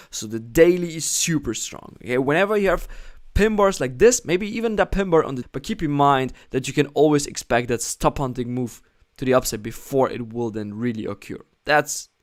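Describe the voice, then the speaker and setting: Man explaining forex charts live. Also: mid-range voice. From Germany. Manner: explanatory, repeats "okay?" often, non-native phrasing. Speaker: forex trading analyst, live stream host. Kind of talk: live trading analysis stream, reading chat.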